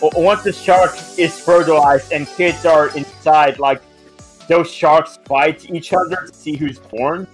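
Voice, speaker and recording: Male game stream co-commentator, higher-than-average pitch, loose rambling delivery, music playing behind. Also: steady tone, measured pace. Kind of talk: casual chat over game stream.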